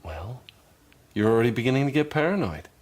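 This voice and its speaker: A man's voice: medium pitch, big pitch swings, brisk, darkish tone. A man playing a soothing, reassuring husband. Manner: soothing, placating. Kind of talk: radio drama.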